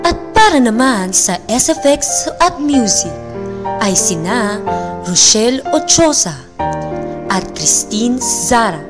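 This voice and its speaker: A woman narrates the close of a radio drama in a deliberate announcer style. Her voice is fairly high and very expressive, unhurried, with loud piano music behind it. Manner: deliberate, presentational. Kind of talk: scripted radio closing narration with credits.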